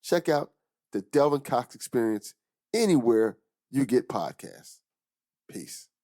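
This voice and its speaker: A man's voice: medium pitch, animated, slow, warm tone. A man who hosts a podcast, reading a scripted promo for his show. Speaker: podcast host.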